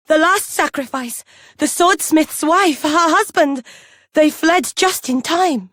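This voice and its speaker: A frightened village woman in a fantasy game. Her voice is high and close, and she speaks slowly in short, dread-filled phrases. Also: no echo. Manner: halting, clipped, dread-filled. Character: terrified village woman living under a monster's rule. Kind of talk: video game voice acting.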